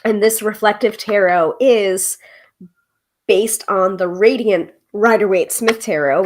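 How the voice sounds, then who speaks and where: A woman's voice: medium pitch, background noise. A woman in her forties with a froggy, congested voice, chatting casually as the host of a live tarot stream, with lots of ums and laughter. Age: 40s. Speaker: tarot reader hosting a live show.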